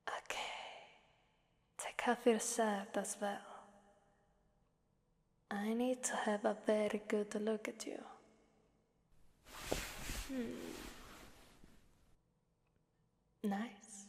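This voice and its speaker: A woman's voice: mid-range voice, darkish tone. A woman voicing a seductive, taunting Krampus demoness in an ASMR roleplay, half-whispering with playful menace. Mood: dark, seductive. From Italy. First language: Italian. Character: seductive, taunting female Krampus demon ruling a fighting arena. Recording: long cavernous reverb.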